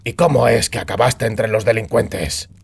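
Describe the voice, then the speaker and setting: A gruff monster hunter for a fantasy audiobook. His low voice is extremely gravelly and husky. He speaks tersely and dryly, always guarded. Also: moderate pace, heavily acted. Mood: stern, dry. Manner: terse, dry. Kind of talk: acted audiobook dialogue.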